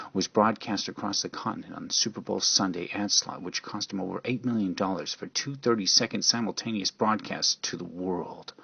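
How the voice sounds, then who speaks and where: Male narrator for amateur radio drama: low, rough voice, brooding theatrical read, lo-fi sound. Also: bright, forceful tone. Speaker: storyteller narrator. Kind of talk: dramatic first-person fan fiction narration.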